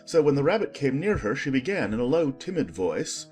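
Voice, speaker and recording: A man reading scripted storybook narration in an expressive storytelling manner. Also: mid-range voice, a little fast, soft background music.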